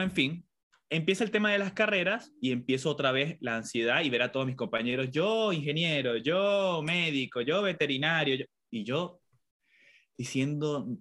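A man's voice: on the high side, fairly bright tone. Man with mixed Latin American Spanish accent. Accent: mixed Venezuelan, Colombian and Argentine Spanish. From Venezuela. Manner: tells anecdotes, acts out childhood voices. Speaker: guest. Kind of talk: reflective personal storytelling.

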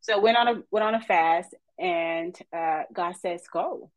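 Woman guest, low pitch, dry close sound, warm chatty storyteller. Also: measured pace, dry recording, muffled recording. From East Texas. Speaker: guest counselor. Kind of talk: casual podcast chat.

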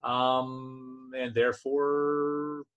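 A man's voice: mid-range voice, little pitch movement, drawn-out words, fairly bright tone, clean tone, highs cut off. A man from New Jersey chatting casually as co-host, joking and self-deprecating, often restarting his sentences. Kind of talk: casual chat.

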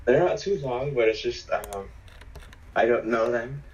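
A teenage boy with a raspy, hoarse voice from a sore throat, talking hesitantly as a podcast guest. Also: mid-range voice, muffled recording. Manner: halting, flat, hesitant reading.

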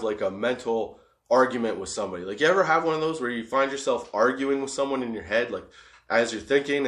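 A high man rambling casually and profanely about getting past setbacks, laughing often. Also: fairly bright tone, roomy sound. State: high on cannabis.

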